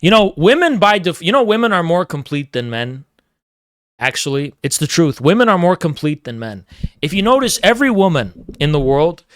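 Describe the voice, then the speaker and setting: Guy streaming, kinda high voice, rambles and ribs his chat, dead dry sound. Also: fairly bright tone, dry recording. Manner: rambling, opinionated, teasing chat. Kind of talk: casual live-stream talk with chat.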